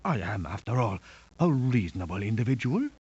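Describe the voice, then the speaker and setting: An actor playing a coldly menacing crime lord in a video game, pompous, formal and deliberate, on a dull lo-fi sample. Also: male voice, fairly low pitch, big pitch swings, darkish tone, breathy edge, heavily acted. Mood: smooth, menacing. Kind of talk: theatrical video game villain acting.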